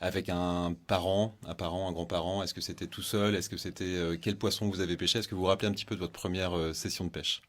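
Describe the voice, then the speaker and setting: A man's voice: low-pitched, little pitch movement, fast, few pauses, a bit bright. A man hosting a podcast interview in a studio, chatty and upbeat, with plenty of fillers. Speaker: podcast host. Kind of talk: casual interview. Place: indoor studio with guests.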